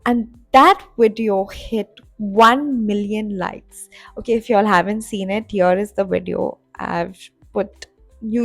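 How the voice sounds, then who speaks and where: A young woman in her twenties chats straight to camera, rambling through her story with plenty of fillers and restarts. Her voice has a gravelly, fry-tinged edge, and the sound is dry and close. Soft music plays faintly behind her. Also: medium pitch, no echo. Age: 20s. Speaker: content creator. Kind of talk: casual vlog talk to camera. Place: home in Dubai.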